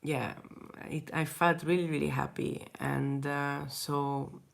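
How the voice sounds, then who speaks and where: Slow, low-voiced Hungarian woman chatting. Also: little pitch movement, creaky. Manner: hesitant, many restarts, frequent soft laughs. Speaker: artist answering viewer questions. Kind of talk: casual livestream Q&A chat.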